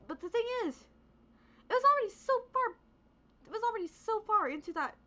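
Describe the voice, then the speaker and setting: A woman's voice: extremely high pitch, sharp tone. A young woman whose first language is Spanish, rambling in a casual, profane rant as a VTuber streamer, peppered with "like" and "right?". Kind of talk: casual ranting monologue. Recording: dull lo-fi recording.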